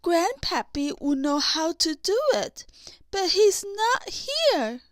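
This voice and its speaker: A woman's voice: very high-pitched, sing-song, slow, no room sound, voice pushed up, heavily acted. A woman voicing a fond, wistful, motherly female troll in a children's storybook reading. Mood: sad, wistful.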